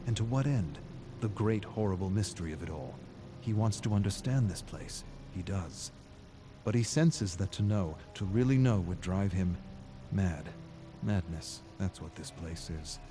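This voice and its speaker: A man with a low voice narrates a story in a brooding, dramatic way. He places short phrases deliberately and leaves pauses between them. A clear layer of ambient noise sits behind his voice.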